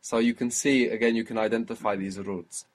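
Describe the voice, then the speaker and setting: A man teaching a one-on-one language lesson, patiently explaining and spelling out sounds slowly. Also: on the low side, steady tone. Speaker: Arabic teacher.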